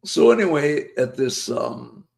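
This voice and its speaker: A man hosts a live chat show while losing his voice, so his low voice comes out rough and gravelly. He rambles along at an easy, slow pace, reading viewer comments aloud. His pitch swings widely as he talks. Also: very animated, darkish tone. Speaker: host.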